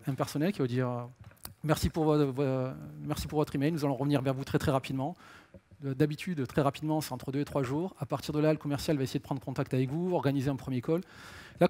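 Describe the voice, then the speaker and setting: Guy doing a business conference talk, voice a bit on the high side, explains stuff with lots of english jargon. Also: a little mellow. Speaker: sales director giving a conference talk.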